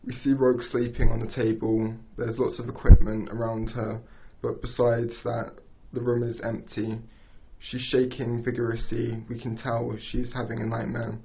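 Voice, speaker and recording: A man narrating stage directions at an amateur script table read, halting and stumbling over words. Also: medium pitch, completely flat delivery, vocal fry, slight background noise. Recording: dull lo-fi recording.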